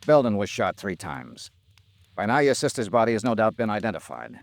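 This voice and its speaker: Urgent, earnest American radio detective. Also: male voice, fairly low pitch, big pitch swings, fairly quick, creaky, no room sound. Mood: firm, urgent. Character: insistent, earnest male insurance investigator, gentle but hard-boiled. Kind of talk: old-time radio drama acting.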